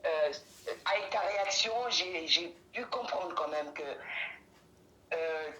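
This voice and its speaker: A woman from Italy calling into a livestream, talking in a halting, rambling and complimentary way. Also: lowish voice, echoey room, highs cut off. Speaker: caller. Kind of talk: phone-in chat.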